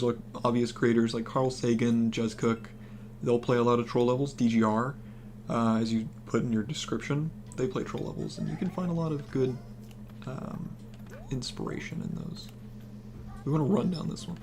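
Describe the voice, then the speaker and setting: A young man with a naturally hoarse voice, casually commenting on a game he plays while reading and answering his chat. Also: darkish tone, a little hiss or hum. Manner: low-key, mumbling, encouraging. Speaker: game streamer.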